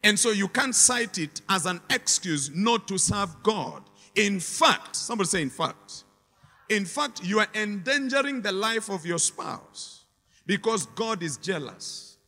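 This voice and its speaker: A man's voice: sits high, lively intonation, a little slow, sharp tone, long reverb tail. A man preaching a sermon with emphatic, repetitive phrasing and call-and-response to the congregation.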